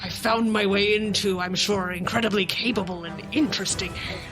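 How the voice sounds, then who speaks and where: A woman's voice: mid-range voice, fairly even intonation, brisk, music in the background, natural voice. A woman voicing a jovial, boastful male pirate spirit trapped in a magic sword for a tabletop game, with theatrical pirate talk.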